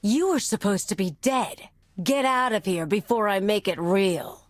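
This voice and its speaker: A woman's voice: medium pitch, expressive, measured pace, no echo, natural voice. An actress playing a tough, bitter woman anarchist in a video game, with a blunt, mocking manner. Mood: angry, hostile. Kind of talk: video game cutscene acting.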